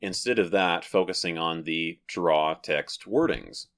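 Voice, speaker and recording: A man talking alone to his audience, explaining his religious views in a careful, measured way and often repeating and rephrasing his points. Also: low voice, fairly bright tone. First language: English. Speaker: religious video host. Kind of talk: solo talk to camera, measured explanation.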